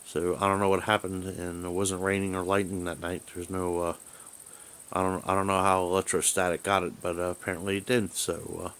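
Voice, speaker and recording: A man talking casually and unscripted about a gadget, halting and rambling as he often trails off. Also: deep voice, fairly bright tone, clear, resonant tone. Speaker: video creator reviewing radio gear. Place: small room indoors at home. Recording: hissy home video with background noise.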